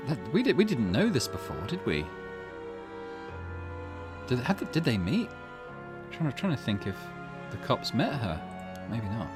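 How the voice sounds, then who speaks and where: A man's voice: medium pitch, wildly swooping pitch, a little slow, pauses often. A man hosting a gaming channel, giving casual let's play commentary and thinking aloud hesitantly. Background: game music.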